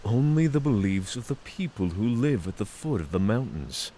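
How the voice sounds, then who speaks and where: A man acting as a giant dragon in mortal company, low-voiced with very mobile intonation. He is formal, candid and courteous, in a fantasy audio drama with hiss behind him. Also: sing-song, moderate pace, warm tone. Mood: calm, candid. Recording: clear background hiss.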